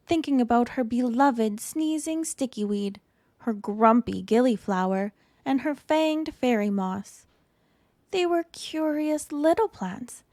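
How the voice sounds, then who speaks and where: Slow, high-voiced woman reading a storybook. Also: dry recording, clean studio sound. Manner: expressive, deliberate storytelling. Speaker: storytime reader. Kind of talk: read-aloud children's picture book narration.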